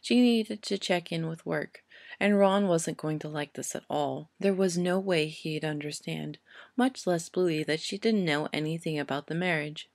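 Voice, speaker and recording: A woman's voice: low voice, normal speed, vocal fry, no echo. A woman narrating a scripted work of fiction as an audiobook reader.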